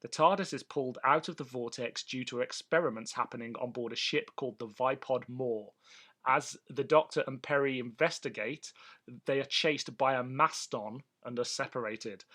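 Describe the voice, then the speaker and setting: A man's voice: mid-range voice, a bit bright. A man co-hosting a podcast, chatting casually and sharing bits of trivia in an informative way. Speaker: podcast co-host. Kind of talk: casual chat about a vintage TV and radio show.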